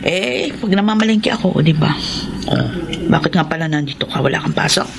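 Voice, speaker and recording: A woman's voice: low voice, fairly quick, vocal fry, crowd noise. A woman playing a chatty, meddling middle-aged housemaid in a radio drama, breezy and insistent. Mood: casual, breezy. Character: chatty, cheeky, meddling housemaid, mother of a student. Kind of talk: Tagalog radio drama acting.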